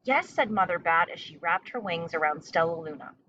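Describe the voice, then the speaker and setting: A woman's voice: fairly low pitch, fairly quick, bright, forceful tone, far from the mic, highs cut off, a little hiss or hum. A woman reading a children's story aloud as narrator. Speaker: storybook reader.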